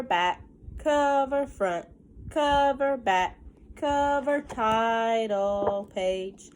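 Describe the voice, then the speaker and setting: Animated, higher-pitched woman reading to children. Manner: sing-song, expressive, addresses young children. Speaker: teacher reading a picture book aloud to children. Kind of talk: animated children's read-aloud with simple questions and asides.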